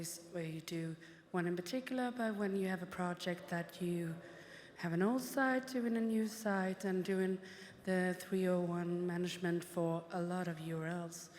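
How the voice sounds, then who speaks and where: A woman asking the panel a question from the audience, her voice somewhat low. She is heard through a roomy handheld mic with a long hall reverb. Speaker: audience member.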